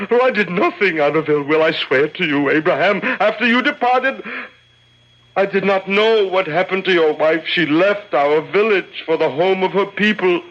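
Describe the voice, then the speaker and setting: Casting: humbled wealthy father pleading before judges, high-pitched earnest voice, old radio play. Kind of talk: theatrical radio drama acting. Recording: dull lo-fi old radio recording.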